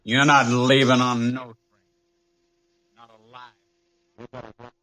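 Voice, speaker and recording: An actor playing a defiant, threatening outlaw prisoner in a 1950s radio western, surly and menacing. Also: male voice, mid-range voice, level tone, takes it slowly, sharp tone, low-quality recording.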